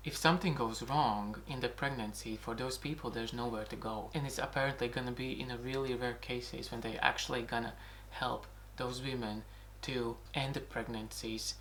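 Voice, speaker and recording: A man with a Latvian accent speaks to camera in an earnest, indignant monologue, circling back to how crazy things seem. His pitch sits mid-range for a man. The take is not fully clean, with a faint noise under the voice. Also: a bit bright. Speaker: video blogger.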